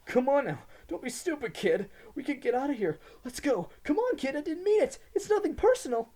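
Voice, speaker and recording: A man's voice: very high voice, big pitch swings, a little fast, dark tone, pitched above the performer's own voice. A man voicing a desperate captive man who pleads and whines for his life in a dramatic story reading. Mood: desperate pleading. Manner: pleading, whining at the end.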